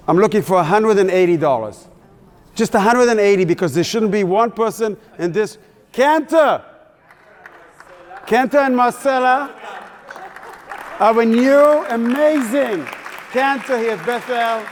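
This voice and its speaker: High-pitched guy running a fundraiser, playful and pushy, echoey hall, people murmuring. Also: animated, slow pace, pauses often, a little hiss or hum. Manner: coaxing, upbeat, playful. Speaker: fundraising emcee. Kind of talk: live fundraising appeal to a crowd. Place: synagogue hall at an evening event. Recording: reverberant hall with crowd.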